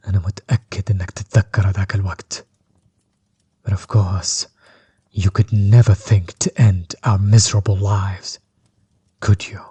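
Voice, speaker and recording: A menacing sorcerer overlord, acted by a man, speaks in a low, dark and very husky voice. He is measured and coldly taunting, a bitter villain in an audio roleplay whose words often sink toward a breathy whisper. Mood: bitter, mocking.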